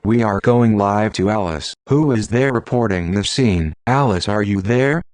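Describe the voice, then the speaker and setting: A man's voice: fairly low pitch, normal speed, darkish tone, no room sound, lightly acted. A man playing a male television anchor reading scripted breaking news. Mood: formal.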